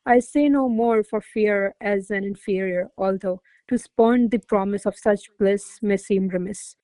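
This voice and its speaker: An actress playing a haughty, quick-witted noblewoman in a classical comedy radio play, imperious, formal and articulate. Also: medium pitch, mellow, smooth, no echo, close to an everyday voice. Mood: measured reciting. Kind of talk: stage-style radio play acting.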